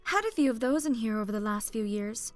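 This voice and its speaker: A woman playing a sharp-tongued, short-tempered human barwoman, sardonic and teasing, in a fantasy audiobook. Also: on the high side, lively intonation, a little fast, no room sound, soft background music, lightly acted. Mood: wry. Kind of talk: audiobook character acting.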